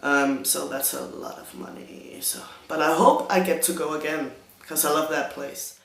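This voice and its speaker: A young Danish man with a Danish accent, answering viewer questions in a casual vlog, hesitant and self-conscious with many pauses and restarts. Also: on the high side, vocal fry, sharp tone, echoey room. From Denmark. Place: room in front of a green screen.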